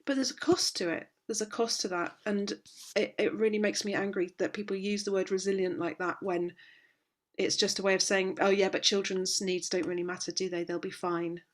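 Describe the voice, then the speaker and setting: Slightly hoarse, impassioned woman. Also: medium pitch, steady tone, brisk. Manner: impassioned, indignant, with many restarts. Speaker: parenting guest speaker. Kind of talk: conversational interview, passionate advocacy.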